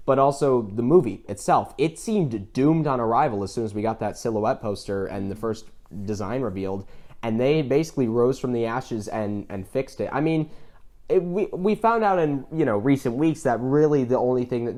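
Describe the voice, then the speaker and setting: A man's voice: medium pitch, fairly quick, warm tone. A man co-hosting an enthusiastic, casual discussion about films, opinionated and stressing his points with repetition. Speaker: podcast co-host.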